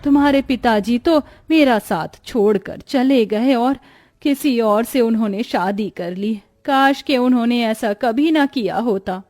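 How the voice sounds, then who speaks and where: A woman's voice: on the high side, unhurried, a little mellow, natural voice. A woman voicing a poor, weary, devoted village mother in a cartoon story, speaking tenderly, earnestly and plaintively. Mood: regretful.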